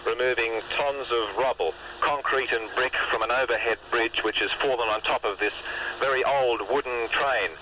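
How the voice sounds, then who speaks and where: A man's voice: medium pitch, fairly even intonation, normal speed. A man with an Australian accent giving a descriptive radio news report from the field. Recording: noisy outdoor field radio recording.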